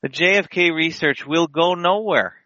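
A man's voice: a little high, moderate pace, sharp tone, dry recording, muffled recording. A man hosting a casual internet radio show, talking in a rambling, opinionated way. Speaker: podcast host. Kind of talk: casual online radio chat.

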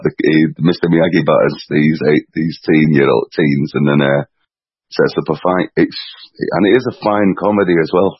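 A man's voice: low-pitched, breathy edge, dry recording, lo-fi sound. A man, a podcast guest who rambles casually, restarting and swearing offhandedly. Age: 40s. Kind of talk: casual chat.

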